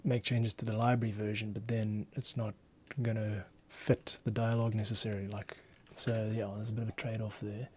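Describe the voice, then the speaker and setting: A man's voice: fairly low pitch, level tone, brisk, few pauses, a little mellow, breathy edge. A man giving casual work-along commentary as a composer, muttering playful asides to himself. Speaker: music composer giving a screen tutorial. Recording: noticeable background noise, keyboard typing.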